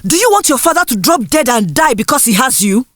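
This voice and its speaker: Folktale mother, played by a woman: harsh, dismissive scold, fairly high voice, dry recording. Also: big pitch swings, fairly quick, no echo. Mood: angry. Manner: scolding, dismissive, rhetorical questions.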